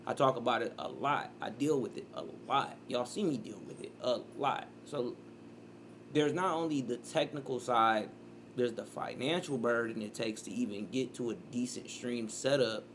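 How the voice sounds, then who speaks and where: A man in his early thirties streams his reaction in loose, rambling commentary. His voice sits at an ordinary pitch and now and then jumps into loud, excited outbursts. A faint hiss runs under the sound. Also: normal speed. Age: 30s.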